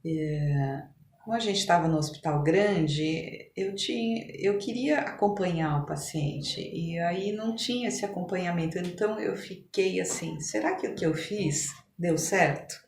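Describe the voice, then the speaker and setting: Brazilian woman guest chatting, lowish voice, thinks out loud n rephrases, roomy echo, bit of noise. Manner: halting, reflective, often rephrasing. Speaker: podcast guest, physician. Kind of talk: conversational interview. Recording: echoey room with noticeable background noise.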